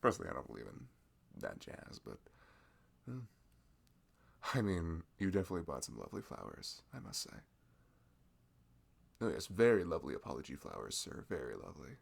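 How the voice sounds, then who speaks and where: Young man, deep gravelly voice with lively swings, giggly chatty shopkeeper roleplay. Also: brisk, long pauses. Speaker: ASMR roleplay creator. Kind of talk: scripted audio roleplay, chatty.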